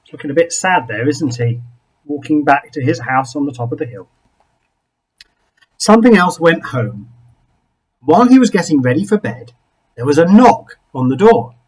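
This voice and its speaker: A man, a rabbi, reading a picture book aloud to young children expressively, with dramatic pauses and small asides. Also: on the high side, animated, darkish tone, breathy edge, poor audio.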